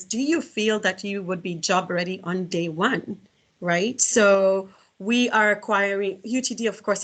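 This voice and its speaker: A woman's voice: medium pitch, a bit bright. A middle-aged woman from Kenya with a Kenyan accent, giving an earnest online talk over a video call, often restarting her sentences. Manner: earnest, many restarts and fillers. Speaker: guest speaker.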